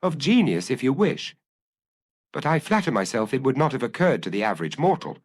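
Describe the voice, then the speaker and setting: A man voicing a proud, self-satisfied gentleman thief in an audiobook, boastful and grand in his telling. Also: medium pitch, sing-song, a little fast, pitched above the performer's own voice. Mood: smugly proud. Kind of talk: audiobook character acting.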